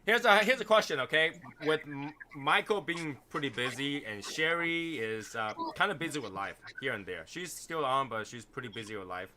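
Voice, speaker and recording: A man's voice: medium pitch, animated, normal speed, hardly any pauses, sharp tone, a little hiss or hum. A man in his thirties from Boston hosting a casual live stream chat in a rambling, repetitive way. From Boston, United States. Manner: rambling, repeats himself. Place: small foam-padded home studio.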